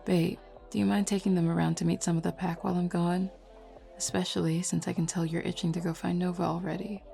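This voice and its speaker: A woman's voice: lowish voice, flat delivery, fast, gravelly, dark tone. A woman playing a flirty, self-assured werewolf pack beta in a roleplay audio drama, talking casually and teasingly to the listener. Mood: calm, explaining. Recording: lo-fi music bed behind voice.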